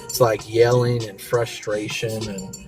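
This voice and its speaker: A man's voice: on the low side, level tone, unhurried, over music. An American man from the South hosts a casual motivational livestream, talking in a slangy, encouraging way and repeating key phrases for emphasis. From southern United States (Mississippi and Florida). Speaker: talk show host. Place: in a vehicle during a commute.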